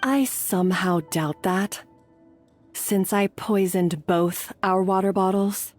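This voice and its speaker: A woman's voice: mid-range voice, steady tone, slow, soft background music. An actress playing a bitter, remorseful woman turned defiant in a video game, speaking with anguished, earnest drama. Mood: bitter resolve.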